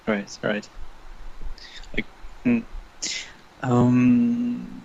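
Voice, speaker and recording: A man's voice: medium pitch, level tone, extremely slow, vocal fry, warm tone, dull audio. A man from the Netherlands with a Dutch accent, a native Dutch speaker chatting in English, hesitant with many fillers. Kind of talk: casual chat.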